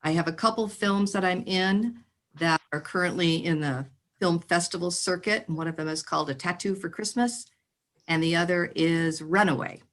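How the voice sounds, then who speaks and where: An actress introducing herself casually on a video call. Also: female voice, lowish voice, steady tone, moderate pace, a bit bright. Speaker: actress, voiceover artist and singer.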